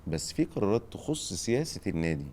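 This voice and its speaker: A middle-aged Egyptian man with a lowish voice, talking very fast. He hosts a relaxed chat and hands out advice. He keeps checking in with short rhetorical questions. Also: a little hiss or hum. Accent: Egyptian Arabic. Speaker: podcast host, former football director. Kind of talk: casual advice-giving chat.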